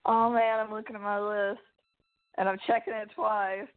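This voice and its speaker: A woman chats casually as a co-host, wandering loosely through her thoughts with the odd mild swear word. Her pitch is average, and the audio is narrow and tinny, like a phone line. The delivery is unscripted and informal. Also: level tone, normal speed. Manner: informal, mildly profane, rambling. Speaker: podcast co-host. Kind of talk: casual chat about film actors. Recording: thin, phone-like band-limited audio.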